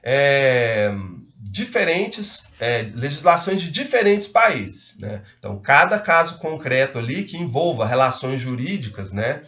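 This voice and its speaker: A man's voice: medium pitch, sing-song, measured pace, a bit bright. A man giving a casual law lecture, hesitant and full of fillers and tag questions.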